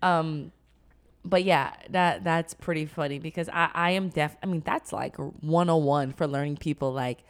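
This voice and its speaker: A woman's voice: sits low, normal speed. A woman co-hosting a podcast in casual, slangy conversation, telling stories emphatically and acting out what people say. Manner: animated storytelling with acted-out quotes, emphatic slangy delivery. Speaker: podcast co-host.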